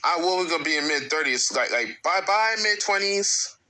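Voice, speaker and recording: A man around thirty talking to his own channel's viewers, with halting speech, broken grammar and lots of repeats. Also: on the high side, animated, bright, forceful tone. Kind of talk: speaking to camera in his own video.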